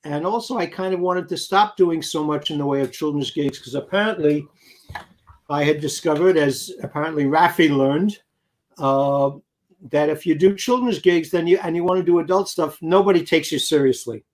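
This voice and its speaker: A man's voice: on the high side, normal speed, rough recording. An older man from New York City, a guest musician telling his story in a rambling, anecdotal way with many fillers. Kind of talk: casual interview chat.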